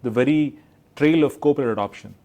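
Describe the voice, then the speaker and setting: An Indian man with a very mellow, relaxed voice at a middling pitch. He hosts a conversational interview, affirming what he hears and framing questions. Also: a little fast, dull audio. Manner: affirming, often chiming in with approval. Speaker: interviewer, host.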